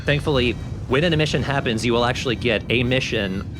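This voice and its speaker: A man's voice: quick pace, over music. A man giving casual, informal gameplay commentary, explaining things as the host of a let's play. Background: game sound effects and rumble.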